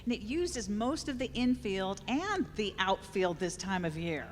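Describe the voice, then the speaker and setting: A woman's voice: medium pitch, big pitch swings, unhurried. A woman playing a practical, upbeat, discreet event organizer in a live radio play, matter-of-fact in manner. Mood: businesslike. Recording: noisy live stage in echoing hall.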